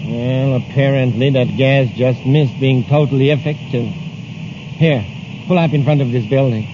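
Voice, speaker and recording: A man's voice: mid-range voice, a little slow, lightly acted. A man acting a scheming, coolly ruthless racketeer who owns a farm, in 1950s radio drama style. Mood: smug, calculating. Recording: dull, hissy old radio recording.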